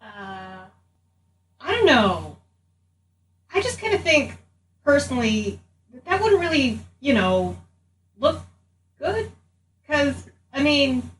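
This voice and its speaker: A woman's voice: high-pitched, big pitch swings, slow pace, pauses often, full character voice. A woman voicing a flippant, self-interested magic item with a casual, sardonic manner. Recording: noisy, echoey room, distant mic.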